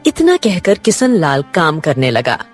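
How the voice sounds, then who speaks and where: A woman narrates a scripted children's story in a fairly low voice. Her intonation is lively and varied, with gentle music behind her. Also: measured pace.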